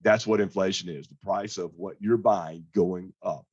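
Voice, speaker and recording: Hoarse low-voiced man, husky and dry. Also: fairly even intonation, moderate pace, darkish tone, dry recording, muffled recording. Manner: conversational, plain-spoken explaining. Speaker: financial advisor. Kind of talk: casual client update talk.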